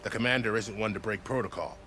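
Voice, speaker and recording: A man's voice: on the low side, fast, bright, forceful tone, faint music behind. A man playing a genial, wry army officer in a video game, courteous and fond of telling a tale. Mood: wry, cautioning.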